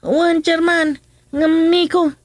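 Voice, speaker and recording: A woman's voice: extremely high pitch, level tone, slow pace, no echo, studio quality. A woman playing a meek village messenger in a rural comedy radio drama. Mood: defensive.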